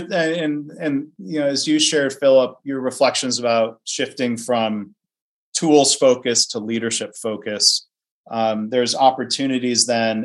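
A man hosting a podcast who asks his guest thoughtful questions, friendly and affirming. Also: mid-range voice. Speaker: podcast host. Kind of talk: interview questions.

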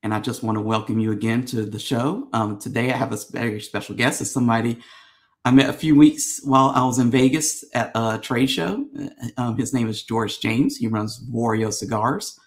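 A man's voice: mid-range voice, normal speed. Chatty male podcast host. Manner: rambling, friendly questions with many fillers. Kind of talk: casual interview.

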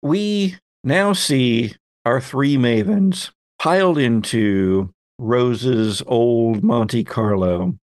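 A man's voice: mid-range voice, extremely slow, a little mellow, no room sound. Man game master, close dry sound. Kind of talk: improvised narration of a tabletop game.

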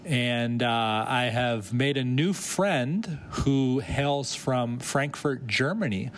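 Relaxed man hosting an interview. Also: medium pitch, slow pace. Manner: relaxed, encouraging with frequent mm-hmms. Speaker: podcast host. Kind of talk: casual interview. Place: conference venue.

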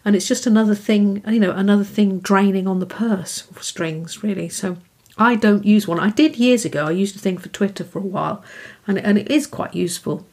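A woman's voice: medium pitch, fairly quick, a little mellow. A woman hosting a podcast, chatting casually and rambling a little, with frequent fillers. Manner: friendly, rambling, many fillers. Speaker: podcast host. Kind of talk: casual interview chat.

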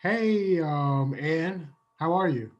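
A man playing an awkward, hesitant visitor in an improvised comedy scene, halting and repeating himself. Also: fairly high pitch, muffled recording. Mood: awkward, sheepish.

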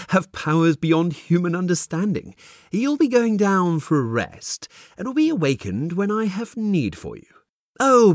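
A smug grey-haired puppet master, put on by a male narrator, talks with breezy, amused condescension. The voice is a touch raised and lively, with a dry, close sound. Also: a little high, no echo, heavily acted.

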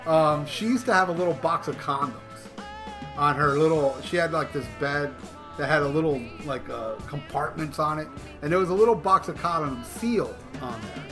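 A man's voice: on the high side, moderate pace. A middle-aged man hosting a podcast, telling a long crude story in a rambling, profane way with stumbling restarts. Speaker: podcast host. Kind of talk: casual storytelling. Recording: music playing clearly underneath.

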